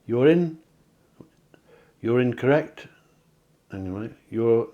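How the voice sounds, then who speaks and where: A man's voice: medium pitch, animated, measured pace, lo-fi sound. Chatty man giving a card reading. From England. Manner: chatty, self-correcting, gently encouraging. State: slightly hoarse, clearing throat. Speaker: psychic card reader. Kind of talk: tarot-style card reading to camera. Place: home near the sea.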